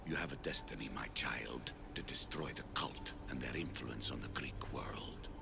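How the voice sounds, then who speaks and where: Casting: ancient cryptic sage father, game cutscene; bottomless pitch, heavy fry, grave delivery. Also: normal speed, in a whisper. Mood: solemn. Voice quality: very deep, gravelly, breathy at phrase ends. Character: ancient solemn father, keeper of Atlantis's secrets. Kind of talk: video game cutscene acting. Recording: music behind the voice.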